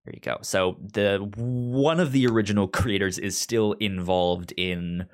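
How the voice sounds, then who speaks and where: A man's voice: lowish voice, a little slow. A man with a stutter hosting a podcast, talking conversationally and partly reading out summaries. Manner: stutters, repeating sounds and words. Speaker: podcast host. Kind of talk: conversational pitching of media picks, partly reading synopses.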